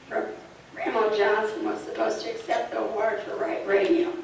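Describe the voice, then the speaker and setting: Hoarse woman, club awards presenter, informal, heard distant and echoey in a banquet hall with faint room noise. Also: medium pitch. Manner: informal, chatty, a little halting. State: losing her voice, hoarse. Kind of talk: speaking to a banquet crowd over a PA. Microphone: PA microphone recorded from a distance.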